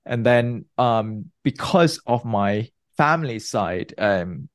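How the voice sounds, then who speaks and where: A man in his thirties from Malaysia talks through his answers in a measured, explanatory way, sometimes restarting a phrase. His voice sits on the low side. The recording is dry and close, with no room sound. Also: lively intonation, no room sound. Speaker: guest researcher. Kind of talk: interview answers.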